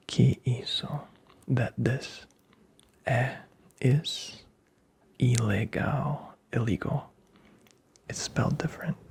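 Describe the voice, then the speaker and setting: A man from Brazil whispers into a close microphone, his voice gravelly at the edges. He teases in a dry, deadpan way and says each phrase several times, slowly. His pitch sits in an ordinary middle range. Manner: playful deadpan teasing, repeats phrases slowly. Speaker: ASMR language teacher. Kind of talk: whispered ASMR language lesson. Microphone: close mic.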